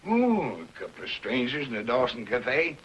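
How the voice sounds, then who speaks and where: An actor playing a crusty, excitable old Yukon storekeeper who talks in folksy frontier slang, in a 1950s television western. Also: male voice, mid-range voice, normal speed, highs cut off. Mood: gossipy, dramatic. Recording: noisy vintage 1950s television soundtrack.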